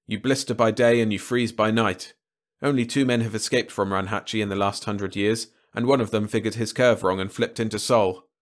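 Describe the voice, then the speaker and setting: A gloomy, sardonic male crewman from a science fiction tale, as a man acts him out. He talks drily, savoring bad news, in a fairly low voice. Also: steady tone, moderate pace, natural voice. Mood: gloomy relish. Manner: dry, gloomily satisfied.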